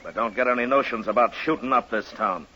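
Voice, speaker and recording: An actor playing a stern, weary U.S. Marshal with a terse, commanding manner in a 1950s radio western. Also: male voice, mid-range voice, fast talker, sharp tone, a little hiss or hum, natural voice. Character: stern, weary male U.S. Marshal of the Old West. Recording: muffled old 1950s radio recording.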